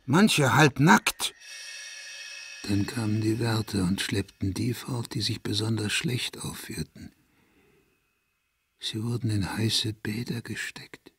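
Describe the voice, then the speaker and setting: A man's voice: fairly low pitch, slow pace, pauses often, exaggerated voice. An actor playing a paranoid, vengeful madman released from an asylum, volatile between whispering and cursing in a radio play. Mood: agitated, haunted. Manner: volatile, swinging from breathy whispers to loud cursing.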